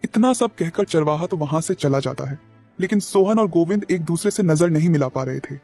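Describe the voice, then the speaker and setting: A male narrator telling a cartoon moral story. His voice sits fairly high for a man, and he moves the plot along steadily. Gentle music plays under him. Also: brisk. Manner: steady, explanatory. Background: faint music.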